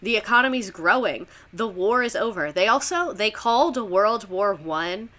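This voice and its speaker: A woman's voice: mid-range voice, expressive, moderate pace, fairly bright tone. A young woman teacher with a Southern US accent, giving a rambling, chatty history lesson on a livestream, full of fillers and laughs.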